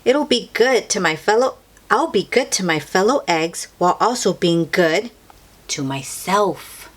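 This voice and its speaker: Slow, very animated woman reading a storybook. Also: mid-range voice, slight background noise. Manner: theatrical, deliberate phrasing with drawn-out emphasis. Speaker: read-aloud storyteller. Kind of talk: expressive children's picture-book read-aloud.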